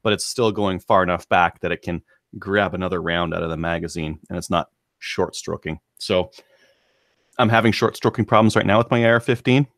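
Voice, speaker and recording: A man's voice: low-pitched, a little fast, fairly bright tone, dry recording. A man co-hosting a podcast chat, explaining things plainly with dry humor. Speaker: podcast co-host. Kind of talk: casual chat.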